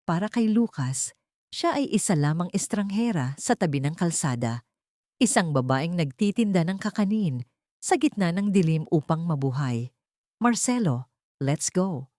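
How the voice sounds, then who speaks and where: A woman's voice: fairly low pitch, expressive, moderate pace, a little mellow, no room sound, clean studio sound. A woman with a Filipino accent reading a scripted dramatic audio story as its narrator.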